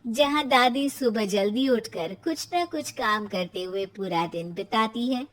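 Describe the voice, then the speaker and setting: A woman narrating a scripted cartoon family story in an animated storytelling style. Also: fairly high pitch, low-quality recording.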